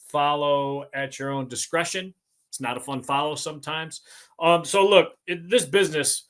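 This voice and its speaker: High, bright-voiced American sports podcast host, male. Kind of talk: casual sports podcast talk.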